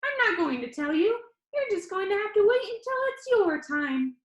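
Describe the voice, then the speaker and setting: Woman acting exuberant high-pitched teenage boy. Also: sing-song, normal speed, highs cut off. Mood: playful teasing. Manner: exuberant, playful, earnest. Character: cheerful, jokey, sincere teenage boy video-maker facing death. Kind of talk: children's novel read-aloud, character dialogue. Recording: echoey room.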